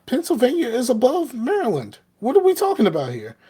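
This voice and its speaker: A man's voice: very high voice, huge pitch swings, brisk, mellow, slightly rough. A middle-aged man from the American South with a Southern accent, chatting casually as a podcast co-host and rambling with lots of "you know what I mean". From Southern United States. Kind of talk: casual chat.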